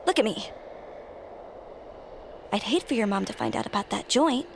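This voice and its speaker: A woman's voice: medium pitch, animated, normal speed, gravelly, noisy background. Pushy, eerie teenage girl. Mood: insistent pleading. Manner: insistent, wheedling. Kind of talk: naturalistic audio drama acting.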